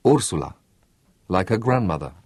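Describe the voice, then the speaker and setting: A man voicing a condemned young rebel commander facing execution, in a measured, composed way. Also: low voice, sing-song, a little mellow, breathy edge, no echo, full character voice. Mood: calm, resigned.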